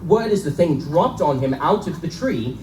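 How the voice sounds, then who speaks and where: A man's voice: fairly high pitch, expressive, moderate pace, warm tone, lightly acted. Man acting breezy young college chap, roomy recording. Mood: jaunty, gossipy. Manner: chummy old-fashioned slang, casual. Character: breezy young Oxford chap, sporty gossiping student. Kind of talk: live dramatic reading of gothic pulp tale. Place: live stage reading in a bookstore. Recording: echoey live recording, distant mic, faint noise.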